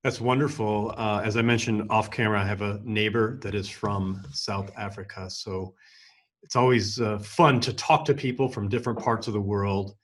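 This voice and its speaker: A man with a fairly low voice hosts an interview show. He asks his questions politely and answers the guest with short, encouraging affirmations. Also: fairly even intonation, moderate pace. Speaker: podcast host. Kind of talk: interview hosting.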